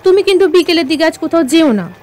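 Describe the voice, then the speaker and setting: An actress playing a firm, watchful young detective heroine in a radio drama, speaking expressively and with authority. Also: female voice, very high voice, expressive, quick pace, darkish tone. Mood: admonishing.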